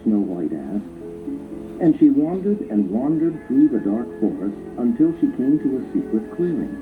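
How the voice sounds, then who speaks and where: Phone-like muffled audio, music behind, male storybook narrator, very lively expressive delivery. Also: medium pitch, moderate pace. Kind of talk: scripted children's story narration.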